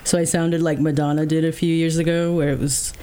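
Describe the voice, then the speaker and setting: A woman's voice: deep voice, level tone, brisk, mellow, smooth. A woman guest in a casual interview, talking reflectively with many fillers like "like" and "um", with faint background noise. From England.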